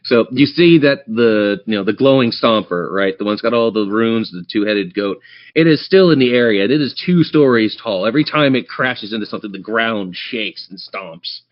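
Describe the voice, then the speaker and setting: A man's voice: fairly low pitch, animated, moderate pace, muffled recording. A man running a tabletop game as game master, narrating the action and chatting encouragingly with players.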